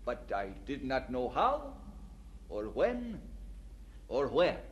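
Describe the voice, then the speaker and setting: An actor playing an earnest, devout young Scottish immigrant in an audio drama, polite and expressive. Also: male voice, mid-range voice, slow pace, muffled recording, soft background music. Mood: fervent. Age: young adult. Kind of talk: audio drama acting with first-person narration.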